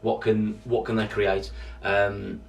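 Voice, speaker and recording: A man co-hosting a football podcast, speaking loudly and eagerly in casual chat. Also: lowish voice, level tone, slow pace, creaky. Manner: enthusiastic, projects a loud voice. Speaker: podcast co-host. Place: standing away from the mic. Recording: voice picked up from a distance.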